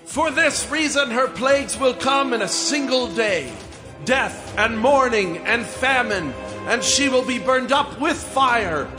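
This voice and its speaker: A stern voice from heaven in an audio Bible drama, pronouncing judgment. It is very high, slow and commanding, with music clearly behind it. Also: male voice, expressive, heavily acted. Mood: solemn commanding. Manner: solemn, commanding.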